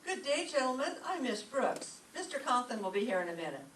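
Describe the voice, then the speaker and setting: A woman's voice: a little high, sing-song, brisk. A woman playing a wry, quippy schoolteacher with dry comic delivery, in an old-time radio comedy. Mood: breezy. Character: wry, wisecracking female schoolteacher. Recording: echoey stage, distant mic, faint noise.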